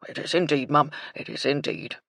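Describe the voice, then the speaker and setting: A woman voicing a humble male groundskeeper with rough country grammar, deferential in manner. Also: low voice, moderate pace, fairly bright tone, no room sound, studio quality, full character voice. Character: rustic humble middle-aged male groundskeeper.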